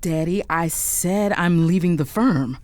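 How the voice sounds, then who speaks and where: A woman playing a proud, composed young lawyer and elder sister, narrating and acting in a first-person audio drama with deliberate expression. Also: on the low side, a little mellow, dry recording, natural voice. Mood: respectful, defensive.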